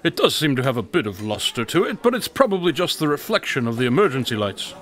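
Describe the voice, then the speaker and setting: A man playing a coolly logical, flippant gentleman detective in an audio drama, with a wry, wordy, formal manner. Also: medium pitch, very animated, fast talker. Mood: dry, analytical.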